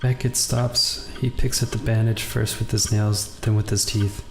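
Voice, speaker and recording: A man reading visual novel narration aloud for a gaming video in a subdued, deliberate way, with the odd casual aside. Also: lowish voice, level tone. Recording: roomy echo, faint background noise.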